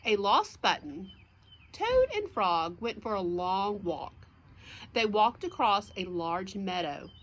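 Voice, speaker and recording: A woman's voice: mid-range voice, big pitch swings, slow. A woman reading a children's storybook aloud as a teacher would, with simple explanatory asides for young listeners.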